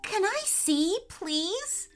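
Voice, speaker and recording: A woman voicing a curious, excitable little schoolgirl in a children's story, eagerly exclaiming and questioning. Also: high voice, a little slow, soft background music, pitched above the performer's own voice, heavily acted.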